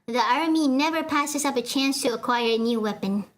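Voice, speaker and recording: A woman's voice: high voice, normal speed, close to an everyday voice. A woman voicing a stern male career army general who speaks bluntly and steadily. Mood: resolute.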